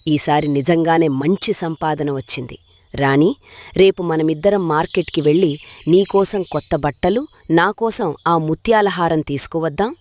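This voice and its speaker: Woman acting a low-voiced, bossy mother-in-law bird. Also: normal speed, darkish tone, no room sound, natural voice. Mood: gloating. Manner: scolding, bossy. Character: greedy, domineering older mother-in-law bird. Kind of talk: children's animated story dialogue.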